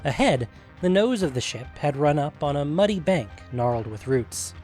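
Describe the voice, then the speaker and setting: A man's voice: on the high side, big pitch swings, moderate pace, a little mellow. A man narrating a scripted fantasy story as the reader of a story podcast. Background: music.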